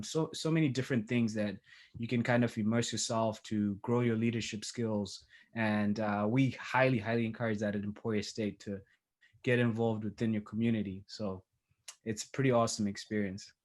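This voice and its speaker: A man, a student speaker, talking casually on a video call, hesitant with many ums. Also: medium pitch. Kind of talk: casual talk on a webinar.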